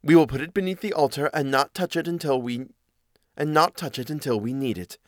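A man's voice: fairly high pitch, fairly quick, no room sound, studio quality, natural voice. A man voicing a greedy, sly male cat in a fairy tale, with a persuasive, cool and self-assured manner. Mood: cautioning, persuasive.

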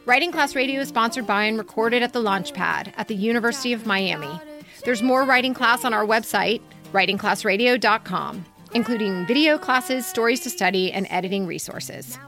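A woman's voice: medium pitch, fairly quick, gravelly, fairly bright tone, faint music behind. A woman co-hosting a podcast, talking with enthusiasm in a studio as she gives commentary. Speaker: podcast co-host. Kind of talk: casual discussion and commentary. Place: recording studio.

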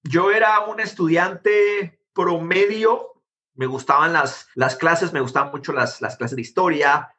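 Guatemalan man, thirties, lively storyteller, slightly high bright voice. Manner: animated, quick, jumps between anecdotes. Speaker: interview guest, tech founder. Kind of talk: conversational storytelling.

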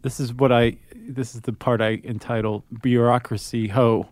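A man's voice: mid-range voice, steady tone, normal speed, a little mellow, dry recording. American man, casual podcast co-host, close dry sound. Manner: wry, joking asides. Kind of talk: casual conversational explainer.

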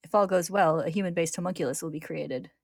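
A woman's voice: on the low side, quick pace, creaky, darkish tone, no room sound, clean studio sound. Woman voicing a sneering, gloating monster, gleefully cruel. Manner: taunting, smug.

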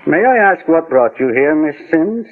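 A man playing a calm, courteous detective with measured, formal questioning in 1940s radio drama style. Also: fairly high pitch, narrow, tinny audio. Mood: probing, courteous. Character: calm, authoritative older male detective, methodical and courteous. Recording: band-limited old 1940s radio recording.

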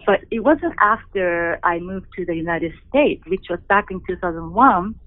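A woman's voice: fairly low pitch, lively intonation, moderate pace, no echo. Japanese-accented woman on a tinny phone line. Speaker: guest, cookbook author and chef. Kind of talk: phone interview.

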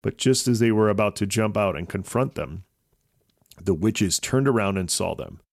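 A man's voice: on the low side, lively intonation, moderate pace, dry recording, studio quality. A man narrating a scripted children's story as a podcast host.

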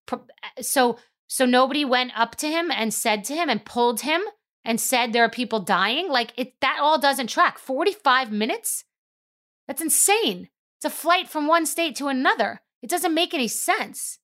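A woman's voice: high voice, expressive, moderate pace, fairly bright tone. A woman hosting a podcast, talking emphatically in a casual monologue and rising to incredulous outbursts. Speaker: podcast host.

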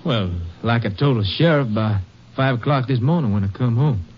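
A hesitant, touchy farm man played by an actor with a rural Southern American accent. His voice sits at middle pitch, evasive and defensive, on a muffled old western radio broadcast. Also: moderate pace, close to an everyday voice. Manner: hesitant, evasive, easily riled. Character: uneasy, defensive male Texas farm brother. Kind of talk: 1950s western radio drama acting.

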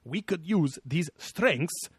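Co-host, man, higher-pitched, rambling and profane, dry close-mic sound. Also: animated, slow, breathy edge, no echo. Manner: rambling, laughs often, swears casually. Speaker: podcast co-host. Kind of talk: casual chat.

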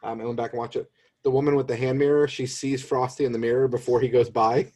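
Conversational man co-hosting, mid-pitched. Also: flat delivery, quick pace, a little mellow. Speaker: podcast co-host. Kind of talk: casual chat.

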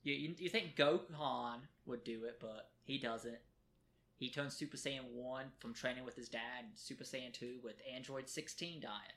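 A man's voice: medium pitch, fairly even intonation, normal speed, a bit bright. A man co-hosting a casual podcast from a kitchen table at home, rambling and joking as he talks. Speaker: podcast co-host. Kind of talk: casual chat. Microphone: home podcast mic.

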